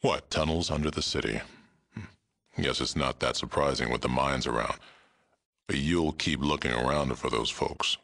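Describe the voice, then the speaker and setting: Game role: old super mutant lawman, extremely low and forceful, terse, weary, fair. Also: moderate pace, heavily acted. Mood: businesslike, gruff. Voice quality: gravelly, rumbling. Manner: blunt, terse, dry delivery. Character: gruff, aging super mutant sheriff, world-weary but fair-minded. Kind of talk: video game character dialogue.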